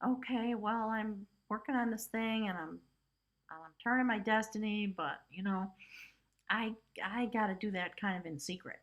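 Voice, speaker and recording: A woman's voice: on the high side, a bit bright. A woman giving a casual spiritual tarot reading, talking chattily with lots of "you know" and "right?" asides. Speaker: tarot reader.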